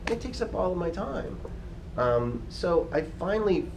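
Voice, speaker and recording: A man's voice: high-pitched, sing-song, measured pace, vocal fry, slight background noise. A man chatting casually in an interview, easygoing and rambling, with lots of "you know" fillers. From United States. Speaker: guitarist being interviewed.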